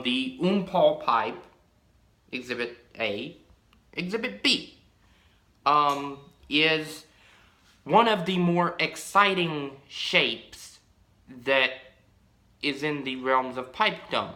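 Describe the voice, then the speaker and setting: A man's voice: a little high, sharp tone, echoey room. A young man chatting casually about a pipe he shows to the camera, drawn out and full of ums, recorded in a small room at home. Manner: rambling, drawn-out, many ums. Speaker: pipe-collecting vlogger. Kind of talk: casual show-and-tell.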